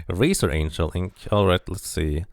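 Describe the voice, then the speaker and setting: A man's voice: deep voice, takes it slowly, dry recording, clean studio sound. A man streaming a casual playthrough, reading the game's narration aloud and chatting between passages. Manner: reads narration aloud, then chats casually and laughs.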